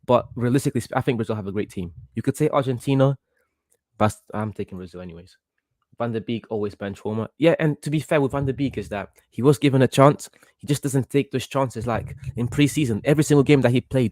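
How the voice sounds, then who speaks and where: A young man from Portugal hosts a casual live football watchalong. His voice is mid-pitched and closely recorded with a dry sound. He talks in an excitable, reactive way, often repeating his phrases as he follows the play. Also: no room sound. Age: young adult, about 18. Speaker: livestream host.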